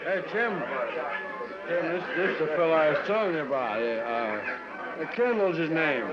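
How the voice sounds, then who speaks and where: Man acting a high-voiced drunken frontier loudmouth. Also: expressive, moderate pace, over a noisy crowd, very noisy, close to an everyday voice. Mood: drunkenly jovial. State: drunk, slurring and stumbling. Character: loud, loose-tongued drunken frontier troublemaker, a man. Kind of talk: 1950s radio western acting. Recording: muffled radio recording with loud music.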